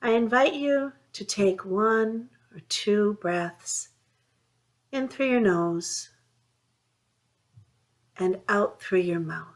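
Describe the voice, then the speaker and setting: A woman leading a guided mindfulness meditation, speaking in a soothing, unhurried way with long pauses between phrases. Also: medium pitch. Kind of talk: guided meditation, gentle instruction.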